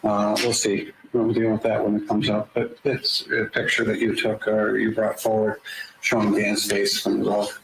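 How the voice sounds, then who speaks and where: Low-voiced, formal defense attorney, echoey. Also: male voice, completely flat delivery, normal speed, creaky, a bit bright. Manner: polite, formal, deferential. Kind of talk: courtroom address. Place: courtroom. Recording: echoey courtroom audio with noise.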